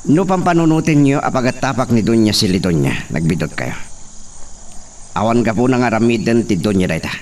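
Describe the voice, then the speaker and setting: A man's voice: medium pitch, very animated, brisk, a little mellow, natural voice. A man playing an arrogant, hot-tempered young master who orders people about in a radio drama. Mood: scheming, bossy. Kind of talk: Ilocano radio drama acting. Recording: faint background noise.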